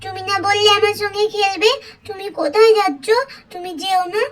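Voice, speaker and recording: A woman voicing an excitable little girl doll in a cartoon story, childlike and eager, repeating her phrases. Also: extremely high pitch, fairly quick, faint music behind. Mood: pleading.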